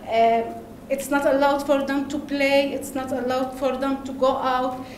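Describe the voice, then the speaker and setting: A woman from Lebanon with an Arabic accent, giving a conference talk in halting English with hesitations and laughs. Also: high-pitched, a little hiss or hum. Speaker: conference speaker. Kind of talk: presentation talk. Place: large darkened conference hall. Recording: echoey hall, faint ambience.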